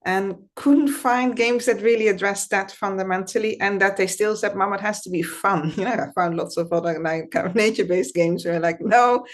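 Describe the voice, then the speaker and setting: A middle-aged woman with a Dutch accent, speaking over a video call as an interview guest and talking in a thoughtful, reflective way with soft laughs. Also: medium pitch, moderate pace, few pauses. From Netherlands. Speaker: guest, founder and system scientist. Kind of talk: conversational interview.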